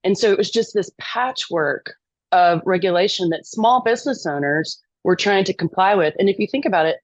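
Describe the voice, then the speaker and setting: A woman's voice: medium pitch, animated, moderate pace, no echo, dull audio. A middle-aged woman from East Texas with a Texan accent, talking as a podcast guest, chatty and self-deprecating with frequent ums. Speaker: lobbyist guest. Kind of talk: podcast interview.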